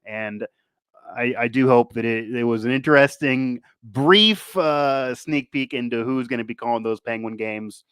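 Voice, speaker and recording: A man's voice: mid-range voice, a little slow, fairly bright tone, clear, resonant tone, no room sound. A man hosting a sports show, talking casually in a rambling way that circles back on itself. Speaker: sports podcast host. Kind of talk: casual sports talk and interview.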